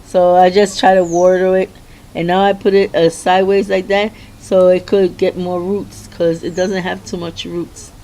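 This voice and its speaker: A middle-aged woman with a hoarse voice giving a rambling, chatty garden tour outdoors, often stopping and restarting her sentences. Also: lowish voice, level tone, moderate pace, mellow, rough recording. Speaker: home gardener. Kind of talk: casual garden tour vlog.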